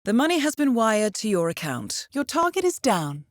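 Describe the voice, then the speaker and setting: An actress with a British accent playing a composed, dryly wry intelligence handler briefing an assassin in a video game, poised and measured. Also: female voice, fairly high pitch, sing-song, no room sound. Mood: composed, businesslike. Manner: poised, measured, dry understatement.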